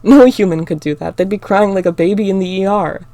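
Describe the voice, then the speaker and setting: Calm male shapeshifter, read by a woman: quiet, dry, gravelly undertone. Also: mid-range voice, a little fast, dry recording, lightly acted. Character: calm, dry young male werewolf-like shifter.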